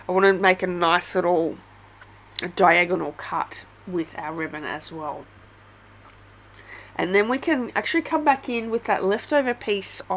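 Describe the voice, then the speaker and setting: A woman's voice: mid-range voice, fairly bright tone. An Australian woman with a head cold, chatting through a casual step-by-step craft tutorial with many ums.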